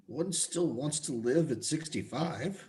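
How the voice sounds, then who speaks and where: A man's voice: fairly high pitch, expressive, slow pace, darkish tone. A man playing a wistful, grumbling retired civil servant of sixty-five in a play reading, plaintive and rambling. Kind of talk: cold play reading over video call.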